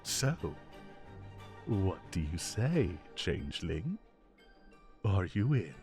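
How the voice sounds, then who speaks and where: A man's voice: low voice, very animated, drawn-out words, a little mellow, faint music behind, full character voice. A man playing a scheming, coaxing fae tempter in a theatrical roleplay audio drama, speaking straight to the listener. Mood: coaxing, conspiratorial.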